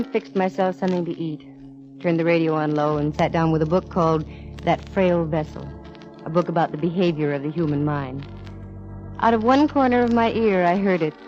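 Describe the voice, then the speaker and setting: Woman acting cool wry lady detective from a 1940s radio show, lowish voice, dull old recording. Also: a little mellow, lightly acted. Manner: composed, wry, firm. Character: cool, shrewd, wry female private eye. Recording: dull vintage radio recording, music behind.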